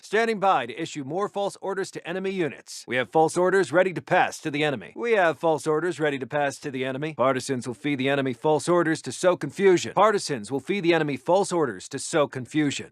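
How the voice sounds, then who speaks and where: A man acts as an American military intelligence officer in a war strategy game. His voice sits a little high for a man and is recorded very dry. He reports in a clipped, dutiful, businesslike manner, like an official announcer addressing a commander. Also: dry recording, natural voice. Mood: measured, official.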